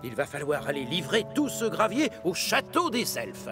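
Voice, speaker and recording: A bossy steam locomotive from a children's cartoon. The voice is fairly high and swings wildly in pitch, brisk and authoritative. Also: male voice, wildly swooping pitch, music in the background. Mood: firm.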